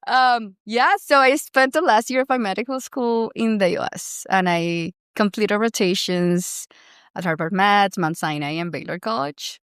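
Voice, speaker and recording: A woman's voice: medium pitch, a little slow, fairly bright tone, clear, resonant tone, dry recording, clean studio sound. A woman in her thirties with a Colombian accent, a doctor and founder chatting as a podcast guest, filling her talk with "you know" and "like". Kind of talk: casual interview conversation.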